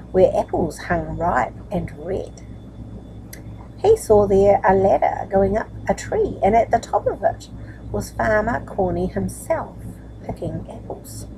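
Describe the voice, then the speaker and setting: A woman's voice: medium pitch, warm tone, slight background noise, low-quality recording. An older woman talking to young children in a nurturing, grandmotherly way, as a storyteller reading books aloud. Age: older, grandmother's age. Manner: nurturing, grandmotherly, asks tag questions. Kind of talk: chatty address to young children, then read-aloud storytelling.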